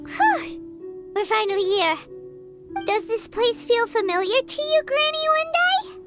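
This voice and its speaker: A woman voicing a small, childlike fairy companion in a video game, earnest, caring and excitable. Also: very high voice, unhurried, over loud music, exaggerated voice. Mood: eager, earnest. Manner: refers to self in third person, excitable.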